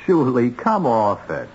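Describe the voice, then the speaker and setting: An actor playing a bossy, skeptical husband in an old-time radio drama, with brusque, colloquial speech. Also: male voice, medium pitch, darkish tone, slight background noise, exaggerated voice. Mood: incredulous. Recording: dull old radio recording.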